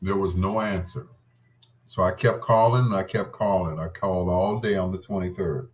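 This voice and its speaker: Low-voiced man hosting radio, reminiscing casually. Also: fairly even intonation, moderate pace. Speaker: radio host. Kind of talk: casual storytelling between love songs.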